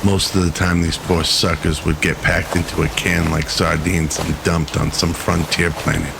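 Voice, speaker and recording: A man narrating a scripted radio drama in a measured, solemn storytelling manner. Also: low-pitched, level tone, creaky, noisy background.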